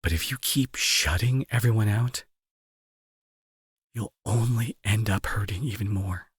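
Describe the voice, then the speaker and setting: A man playing a remorseful, protective bully with a grieving streak, in an intimate dramatic audio roleplay with halting, earnest delivery and a husky, breathy voice. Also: fairly low pitch, no echo, studio quality. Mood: earnest, consoling. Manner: halting, emotional, earnest pleading. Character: angry male bully turned remorseful, protective, grieving brother.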